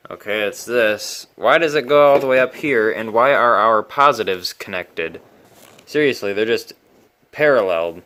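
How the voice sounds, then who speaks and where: A man giving casual, unscripted commentary as he repairs a vintage radio, thinking aloud in a halting, musing way. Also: on the low side, fairly bright tone.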